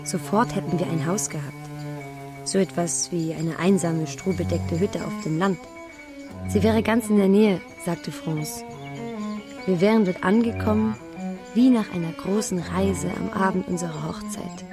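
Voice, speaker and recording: A wistful young bride, music behind. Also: female voice, on the low side, unhurried. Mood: wistful, confessional. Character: melancholy, yearning young bride who left her fiancé. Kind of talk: literary radio drama acting.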